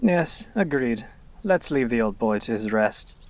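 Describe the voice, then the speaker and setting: A man's voice: medium pitch, expressive, a little slow, dry recording, close to an everyday voice. An actor playing a morbidly fascinated, learned grave robber in an audio drama, relishing his words and dropping into whispers. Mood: intrigued, dry.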